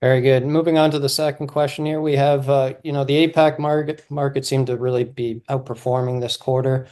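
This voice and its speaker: A man's voice: mid-range voice, flat delivery, fairly quick, a little mellow. A man moderating investor questions on a call in a businesslike, semi-scripted way.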